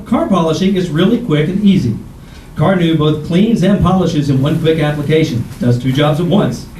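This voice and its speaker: A man's voice: on the high side, warm tone, close to an everyday voice. A man playing a polished, friendly 1940s radio announcer reading sponsor copy. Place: live stage in arts center. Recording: distant, echoey stage, faint noise.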